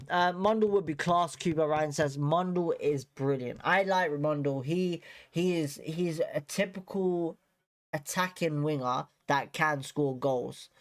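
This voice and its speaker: A man's voice: on the high side, clear, resonant tone. A man with a cold coming on, hosting a casual live stream and rambling with many fillers and repeated words. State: bunged up with a cold. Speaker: fan channel host.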